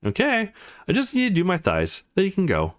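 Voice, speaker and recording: A man voicing a sweet, coaxing, relaxed adult woman landlady in a visual novel. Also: high-pitched, brisk, voice pushed up. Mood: reassuring.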